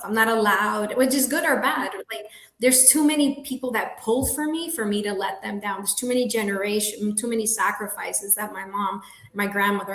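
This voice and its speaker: A woman from Colombia, Spanish as her first language, speaking earnestly at a middle pitch, laughing now and then and restarting lines as she goes. Her voice rings in a roomy, echoey space. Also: a bit bright. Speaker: guest, realtor. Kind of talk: casual interview talk. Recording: echoey room.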